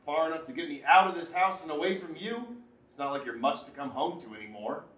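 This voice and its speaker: A man's voice: a little high, animated, fast talker, fairly bright tone. A man acting a needling, self-absorbed husband in a naturalistic relationship drama, with emphatic delivery. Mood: heated. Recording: echoey, distant mic, background noise.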